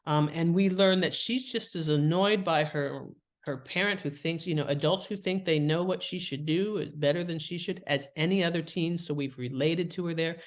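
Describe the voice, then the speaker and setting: A woman talking casually and expressively as an interview guest, slipping into little acted-out asides as she explains. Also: low-pitched. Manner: chatty, expressive, acts out imagined thoughts and asides. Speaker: podcast guest, fiction author and editor. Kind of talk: casual, animated interview talk.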